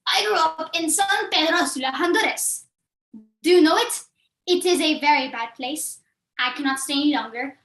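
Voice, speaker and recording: Young refugee girl, high and hushed, played by a woman, roomy echo. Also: far from the mic. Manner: hushed, tearful storytelling. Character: ten-year-old Honduran girl, grieving refugee. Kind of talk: competitive dramatic interpretation performance.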